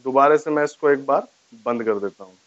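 A man's voice: mid-range voice, a little fast, fairly bright tone, no room sound. Dull-sounding man explaining step by step. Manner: explanatory, repeats each step. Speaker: tech tutorial host. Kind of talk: casual step-by-step phone tutorial to camera. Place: small furnished room indoors, on a sofa. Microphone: lapel mic.

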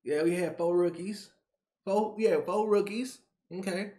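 Young man ranting excitedly to camera. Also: high voice, unhurried. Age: 20s. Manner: animated, slangy, repeats himself. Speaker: YouTube sports reaction creator. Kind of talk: excited casual rant to camera. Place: small bedroom, indoors.

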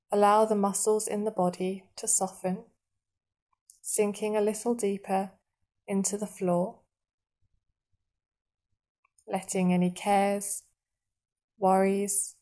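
A woman guiding a meditation in a soothing, measured manner, leaving long pauses between short phrases. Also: medium pitch, dark tone. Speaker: meditation guide. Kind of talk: guided body scan meditation narration.

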